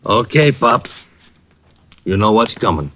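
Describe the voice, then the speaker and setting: An actor playing a menacing gangster in a 1950s radio drama, curt and threatening. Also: male voice, lowish voice, very animated, slow. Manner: curt, threatening tough-guy talk. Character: menacing middle-aged male gangster, bullying. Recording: dull old radio broadcast.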